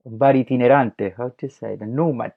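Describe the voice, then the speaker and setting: Male podcast guest, Chilean Spanish accent, mid-range voice, halting casual delivery, slightly dull recording. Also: mid-range voice, vocal fry. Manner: halting, searching for words. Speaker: podcast guest, circus clown and comic actor. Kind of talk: casual interview talk. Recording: dull lo-fi audio.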